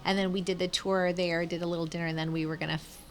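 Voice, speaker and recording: A woman, founder of a youth culinary program, telling stories in a casual interview and quoting herself in lively asides. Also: fairly low pitch, fast, slight background noise.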